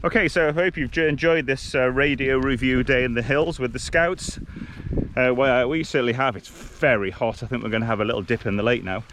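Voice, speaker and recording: A man gives an easygoing field commentary in the hills. His pitch is average and he talks conversationally, feeling his way through sentences. There is some outdoor noise and clicking around his voice. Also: brisk, fairly bright tone. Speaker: vlogger, hiking group leader. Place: outdoors on mountainsides. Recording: noisy outdoor recording with clicks.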